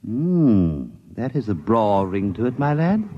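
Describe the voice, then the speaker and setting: An actor playing an earnest, formal and fussy British intelligence officer with a British accent in a 1950s radio drama. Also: male voice, fairly low pitch, sing-song, slow, slight background noise. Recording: muffled old radio recording.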